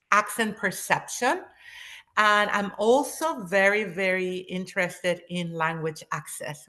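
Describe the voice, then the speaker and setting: A woman's voice: lowish voice, bright, forceful tone. A woman from Panama, presenting a webinar as a linguist in an enthusiastic, welcoming way over a video call.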